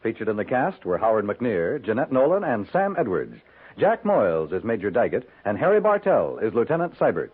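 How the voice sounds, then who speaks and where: A man's voice: on the low side, wildly swooping pitch, brisk, slightly rough. A man reading scripted announcements in the style of a 1950s radio announcer. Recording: phone-like 1950s radio recording with music.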